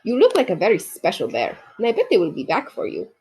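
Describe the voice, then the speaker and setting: A woman's voice: mid-range voice, wildly swooping pitch, fast talker, a little mellow, slightly rough, exaggerated voice. A woman voicing a kindly male newsstand owner in a friendly, reassuring way for a storybook.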